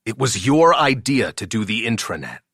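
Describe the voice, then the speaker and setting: An exasperated, commanding boss, played by a male narrator in an audiobook. He is blunt and terse, with lively shifts of pitch, recorded close and dry. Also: moderate pace, breathy edge, no echo, exaggerated voice. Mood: fed up. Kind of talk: audiobook character dialogue.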